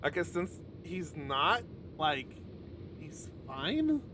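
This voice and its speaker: A man's voice: fairly high pitch, big pitch swings, very slow. A man reading a visual novel aloud on a livestream and adding casual, sweary commentary. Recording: noisy livestream with clear background noise.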